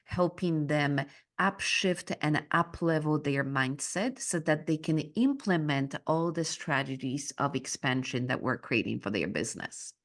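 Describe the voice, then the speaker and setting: Low-voiced Polish-born woman guest. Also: animated, measured pace. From Poland. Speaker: guest, business mindset coach. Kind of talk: podcast interview.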